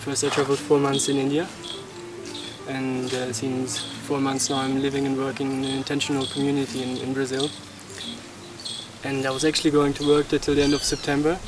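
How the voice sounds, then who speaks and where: Even-toned young man over loud music. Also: mid-range voice, brisk. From Germany, grew up in Portugal. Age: 20s. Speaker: event volunteer being interviewed. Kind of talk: casual personal account. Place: outdoors in a mountain village.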